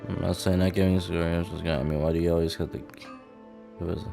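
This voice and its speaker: A man doing casual live gameplay commentary. His voice is low and his delivery offhand and rambling. Soft music plays in the background. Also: flat delivery, fast talker, creaky. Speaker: game streamer.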